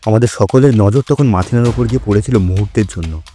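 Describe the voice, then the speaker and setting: A man's voice: on the low side, fast talker, warm tone, soft background music. A man narrating a story for an audio drama in a scripted, dramatic storytelling style.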